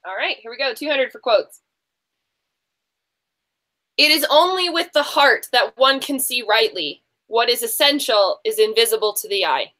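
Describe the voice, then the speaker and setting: A woman's voice: a little high, animated, normal speed, sharp tone. A woman hosting an online book quiz, talking off the cuff with contestants over a group video call.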